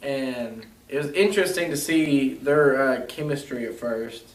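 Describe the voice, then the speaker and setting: A man's voice: mid-range voice, measured pace. A young man co-hosting a casual movie review, chatty and loose, saying "like" a lot. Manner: chatty, lots of "like", acts out scenes. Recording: echoey room, mic far off.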